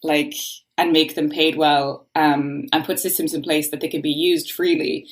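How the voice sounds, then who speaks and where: This is a low-pitched Irish woman speaking as a podcast guest. She talks in long, earnest explanations in a casual tone. Now and then she lets frustration show. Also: level tone, normal speed. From Ireland. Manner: voices frustration. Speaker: podcast guest, law researcher. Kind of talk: casual interview talk.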